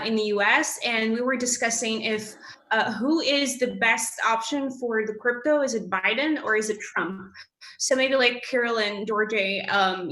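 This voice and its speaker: A woman co-hosting a casual online panel, chatty and lively, on a video call. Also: a little high, reverberant, rough recording. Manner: lively, chatty, quick recaps. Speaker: co-host.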